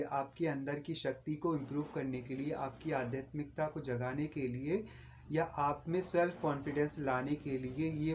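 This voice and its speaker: A man's voice: fairly high pitch, a little mellow, a little hiss or hum. Earnest man with a raised voice. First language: Hindi. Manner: earnest, repetitive, recommending. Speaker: book reviewer. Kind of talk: casual spoken book review.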